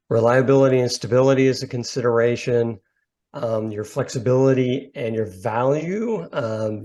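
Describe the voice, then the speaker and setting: A man's voice: medium pitch, a little mellow. Man explaining slides on a webinar. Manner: plain, thinking aloud with "um" and rephrasing. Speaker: company founder presenting.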